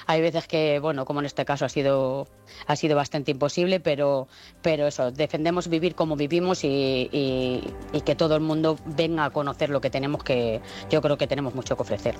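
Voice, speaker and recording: A woman's voice: low-pitched, steady tone, fairly quick, darkish tone, soft background music. A woman mayor speaking on a phone interview, hesitant, with many fillers and restarts. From Spain. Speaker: town mayor. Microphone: phone call.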